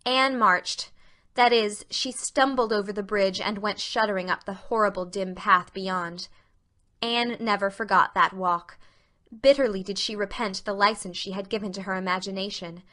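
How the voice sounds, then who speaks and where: A woman narrating a novel as a dramatic reading, with expressive literary storytelling. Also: medium pitch, normal speed.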